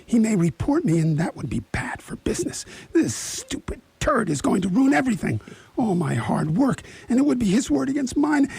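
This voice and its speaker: A man playing a nervous, vain, fussy schemer in a radio play, with a raspy breathy voice and a dithering, dramatic manner. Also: high voice, warm tone, full character voice, poor audio. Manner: dithering, dramatic self-questioning, dropping into whispers. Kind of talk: radio theatre acting.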